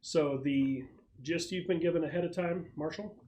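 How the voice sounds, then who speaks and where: A man acting as game master, explaining a tabletop roleplaying game to his players in a halting, explanatory way. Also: fairly high pitch, steady tone, dark tone, rough recording. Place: room at a gaming table with friends. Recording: clear background noise with clicks.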